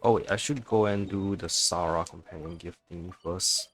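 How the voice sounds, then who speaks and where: A man's voice: low voice, normal speed, fairly bright tone. A man giving casual live commentary as he streams a let's play. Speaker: game streamer. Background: faint game music.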